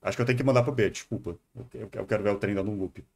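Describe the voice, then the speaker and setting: A man's voice: on the low side, fairly even intonation, racing, gravelly, a little mellow. A man with a Brazilian Portuguese accent giving casual live-stream commentary, hesitating and repeating words as he thinks aloud. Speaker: streamer reacting to a video.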